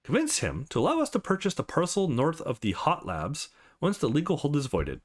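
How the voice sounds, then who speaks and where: Man playing shrewd old lawyer, formal and measured. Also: on the high side, huge pitch swings, breathy edge, exaggerated voice. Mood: dry, businesslike.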